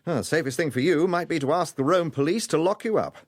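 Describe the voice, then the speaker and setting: An actor playing an urbane gentleman police detective in a radio drama, with a British accent and a courteous, probing, dryly amused manner. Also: a little high, fast, no echo, studio quality, lightly acted. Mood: cool, confrontational.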